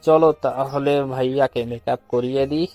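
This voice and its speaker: A young man, played by a male actor, in a romantic audio story, mischievous and coaxing. His mid-pitched voice stays fairly level as he teases, with soft music behind. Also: fairly even intonation, moderate pace, lightly acted. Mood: mischievous, reassuring. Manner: coaxing, teasing.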